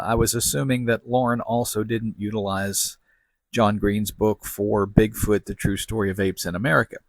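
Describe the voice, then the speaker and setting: A man hosting a podcast, delivering semi-scripted commentary in a folksy, wry manner with deliberate phrasing. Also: on the low side, gravelly, dry recording. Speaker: podcast host.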